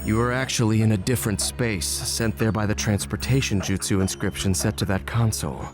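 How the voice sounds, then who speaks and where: A man playing a stern, composed ninja father in an anime game cutscene, speaking in a measured, matter-of-fact way. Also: on the low side, close to an everyday voice. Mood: grave, explanatory. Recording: background music under the dialogue.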